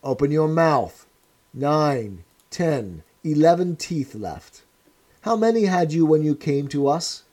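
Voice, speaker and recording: A man voicing a cold, menacing male interrogator who speaks in a measured, commanding way. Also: a little high, lively intonation, darkish tone. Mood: contemptuous.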